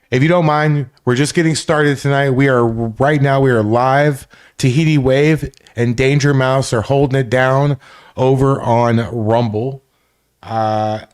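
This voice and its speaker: A man's voice: medium pitch, darkish tone. A man from Florida hosting a casual live stream, rambling, greeting chat viewers by name and swearing freely. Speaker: livestream host.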